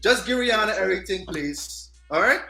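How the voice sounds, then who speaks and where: A man voicing an excited Barbadian man cheering on a local star, with a put-on Barbadian accent and loud, drawn-out exclamations. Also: high-pitched, gravelly, echoey room, faint music behind, voice pushed up, heavily acted. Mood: exuberant.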